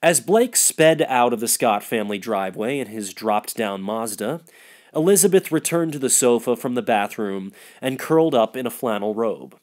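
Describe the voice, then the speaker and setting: A man's voice: mid-range voice, lively intonation, normal speed, a little mellow. A man giving a scripted narration of literary fiction as the reader.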